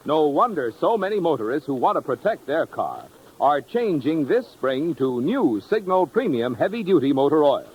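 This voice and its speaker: Male American announcer, 1950s radio ad, higher pitch, very animated and emphatic, faintly noisy recording. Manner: emphatic, repeated slogans. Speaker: commercial announcer.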